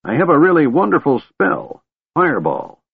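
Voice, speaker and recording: A man's voice: medium pitch, very animated, measured pace, breathy edge, no echo, phone-quality sound, pitched above the performer's own voice, exaggerated voice. A man voicing a cranky, scatterbrained old wizard in a fantasy audiobook, blustering and flustered. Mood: eager.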